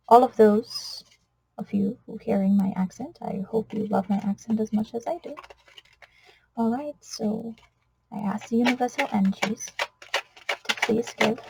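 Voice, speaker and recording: A woman with a Trinidadian accent, giving a gentle spiritual card reading in an earnest, soft-spoken way that often drops to a whisper. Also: a little high, vocal fry, noisy background. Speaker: oracle card reader.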